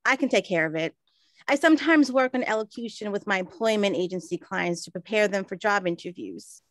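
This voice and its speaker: A woman's voice: medium pitch, lively intonation, a little fast, dry recording, close to an everyday voice. An actress playing a refined, helpful lady of the 1920s, speaking politely and precisely in a period comedy. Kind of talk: period comedy radio-play acting.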